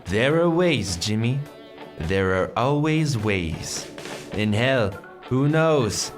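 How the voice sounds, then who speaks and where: A man's voice: medium pitch, lively intonation, slow, over music. An actor playing an envious, cocky man with a sardonic, grumbling way of talking in an audio drama. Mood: scheming, cocky.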